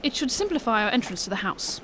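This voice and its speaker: A shrewd gentleman detective played by a woman, pitched on the high side. The phrasing is brisk, genteel and old-fashioned, in amateur audio drama style, over a noisy recording. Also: sing-song. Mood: assured, wry.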